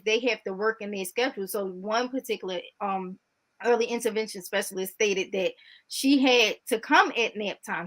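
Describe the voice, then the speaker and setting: Woman guest talking frankly and casually, voice on the higher side, says key bits twice to stress them. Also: a bit bright. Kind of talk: conversational interview answers.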